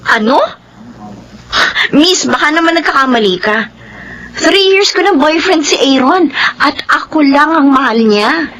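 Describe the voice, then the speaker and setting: A woman's voice: high voice, lively intonation, measured pace, a bit bright, rough recording. An actress playing a hurt, outraged young girlfriend in a melodramatic Tagalog drama, with an emotional delivery. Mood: disbelieving. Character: hurt, outraged young girlfriend confronting her cheating lover.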